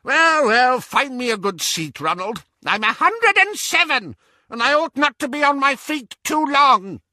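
A man's voice: extremely high pitch, very animated, a little slow, bright, forceful tone, no room sound, studio quality, pitched above the performer's own voice, heavily acted. A man voicing a cantankerous, snobbish, very old witch in an audiobook, barking bluntly critical remarks. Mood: boastful, imperious. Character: cantankerous, imperious, snobbish 107-year-old great-aunt witch.

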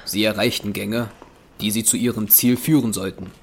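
A man's voice: fairly low pitch, fairly even intonation, normal speed, a little hiss or hum, close to an everyday voice. A man narrating a scripted horror adventure as a grave, suspenseful storyteller. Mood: measured. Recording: narration over loud music.

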